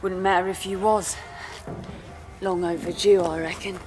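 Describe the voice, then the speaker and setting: An actress playing an ageing, weary working-class London prostitute in a radio drama, speaking plainly and colloquially in a coaxing way. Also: female voice, lowish voice, steady tone, moderate pace, over music, natural voice. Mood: guarded, matter-of-fact. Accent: London working-class English. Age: older. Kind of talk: naturalistic radio drama acting.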